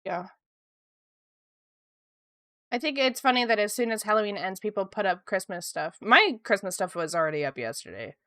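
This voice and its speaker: A woman's voice: mid-range voice, normal speed, long pauses, no room sound, studio quality. A woman from Ontario, a sniffly art streamer chatting casually with her viewers while she draws. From Canada, Ontario. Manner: chatty, lively, reads chat aloud.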